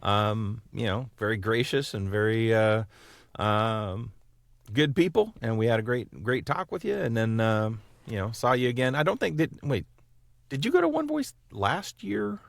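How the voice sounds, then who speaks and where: A man's voice: mid-range voice, very animated, moderate pace, creaky, no room sound. An American man hosting a casual interview podcast in a rambling, loosely worded and jokey way. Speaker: podcast host.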